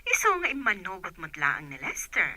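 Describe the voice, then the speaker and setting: A woman's voice: lowish voice, wildly swooping pitch, moderate pace, bright, forceful tone, dry recording, full character voice. A woman voicing a doctor on the phone in a radio drama, encouraging. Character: cheerful female doctor on the phone. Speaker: doctor character. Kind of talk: Ilocano radio drama acting.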